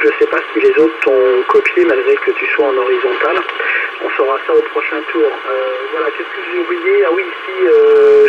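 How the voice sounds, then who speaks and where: A man chatting casually as an amateur radio operator over a two-way radio, hesitating as he searches for words. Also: fairly high pitch. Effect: two-way radio transmission. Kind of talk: casual radio contact chat, passing turns. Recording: dull radio signal with clear static noise.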